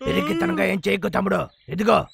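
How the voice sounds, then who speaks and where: A man voicing a bossy, scheming older brother bear with a gravelly voice in a kids' cartoon. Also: high-pitched, fairly quick, crowd noise. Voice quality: gravelly, creaky. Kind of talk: dubbed kids' cartoon acting.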